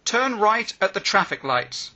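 A man slowly reading short phrasebook sentences one by one, with pauses between phrases, as a language lesson. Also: high voice, very animated, bright, forceful tone, breathy edge. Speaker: language teacher.

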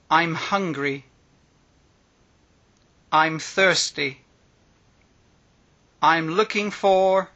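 Brief: male phrasebook reader, very slow, high and lively, slightly husky. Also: muffled recording. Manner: reads each phrase slowly with pauses. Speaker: language teacher.

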